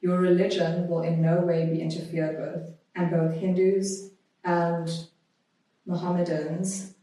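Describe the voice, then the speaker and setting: A woman guest on a podcast, talking conversationally and reading aloud in a measured, deliberate way. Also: lowish voice, flat delivery, warm tone. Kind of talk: conversational interview, reading a historical notice aloud. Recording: very echoey room, distant microphone.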